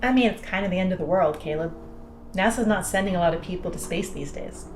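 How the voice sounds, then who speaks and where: A woman's voice: fairly low pitch, quick pace, a little mellow, roomy sound, a little hiss or hum, natural voice. A woman playing a cocky, sardonic pilot in an audio drama, with a dry, blunt, teasing delivery. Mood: cynical. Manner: dry, sarcastic, blunt, foul-mouthed teasing. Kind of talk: naturalistic audio drama dialogue.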